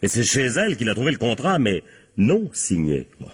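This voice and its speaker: An actor playing a sardonic police captain in a French radio crime drama, husky and colloquial, prodding with short tag questions. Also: male voice, mid-range voice, huge pitch swings, normal speed, full character voice. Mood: forceful, accusing. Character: male police captain, insistent, sardonic interrogator.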